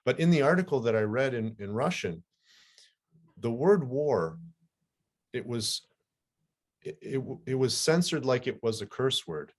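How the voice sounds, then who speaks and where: A man whose first language is Ukrainian, hosting a podcast and explaining things in a conversational, animated way with frequent restarts. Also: mid-range voice, unhurried, pauses often. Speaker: podcast host. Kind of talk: conversational interview.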